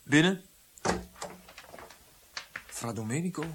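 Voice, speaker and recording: Male for radio play: dry, defiant doctor, curt, slightly high voice. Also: animated, slow, background noise. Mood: guarded. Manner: curt, politely sarcastic. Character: proud Italian court physician, defiant and dry. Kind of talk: radio drama acting.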